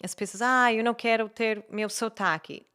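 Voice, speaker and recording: A woman's voice: fairly high pitch, normal speed, clean tone. A woman from the United States, a native English speaker with an American accent, explaining language tips in a casual conversation. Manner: animated, explains with examples. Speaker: guest, English teacher.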